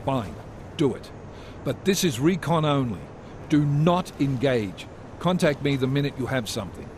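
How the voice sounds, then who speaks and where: A stern male commander, played by a man, issuing firm, slow, clipped orders in a game cutscene. His mid-range voice carries over a background of steady ambient noise. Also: mid-range voice, close to an everyday voice. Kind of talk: video game cutscene dialogue.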